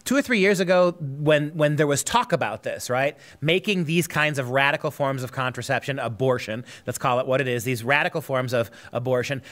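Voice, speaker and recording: A man's voice: medium pitch, fairly bright tone. A man hosting a talk show, sarcastic and emphatic. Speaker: host.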